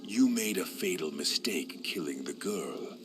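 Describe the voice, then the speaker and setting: A man voicing a bitter knight with a demon inside him, speaking slowly, low and threatening. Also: animated, over music. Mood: grim. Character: brooding male knight harboring a demon, bitter.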